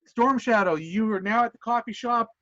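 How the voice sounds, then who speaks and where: A man's voice: high-pitched, moderate pace, a bit bright, dry recording, highs cut off. A man running a tabletop roleplaying game as game master, narrating animatedly and laughing often.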